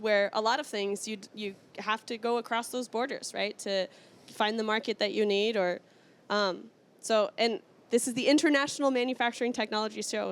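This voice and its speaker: A woman's voice: medium pitch, clear, resonant tone. A woman from Iceland hosting a casual trade-show interview in an enthusiastic, informal way. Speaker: interview host.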